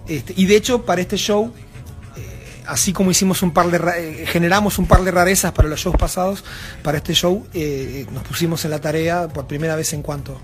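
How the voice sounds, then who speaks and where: A man's voice: fairly high pitch, steady tone, a little fast, faint music behind. A Uruguayan man with a Uruguayan Spanish accent, a band member chatting casually in an interview backstage, rambling with many fillers and restarts. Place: backstage at a music venue.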